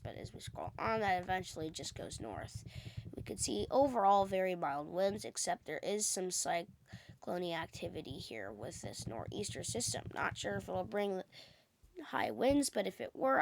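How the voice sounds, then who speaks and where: A woman's voice: medium pitch, creaky, a little hiss or hum. A woman who is a little sick, giving an informal, explanatory amateur weather forecast as she talks through maps. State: sick with a cold. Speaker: amateur weather vlogger, host. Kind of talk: casual weather forecast commentary over maps.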